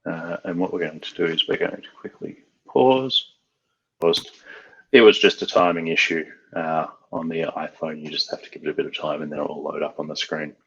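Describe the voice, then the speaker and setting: A man from Australia leads an IT training session, talking through each setup step in a relaxed, loose way with plenty of "um"s. His voice sits very low and a little husky. The audio sounds muffled and lo-fi. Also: moderate pace. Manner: casual, thinks aloud with fillers. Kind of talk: screen-share tech tutorial.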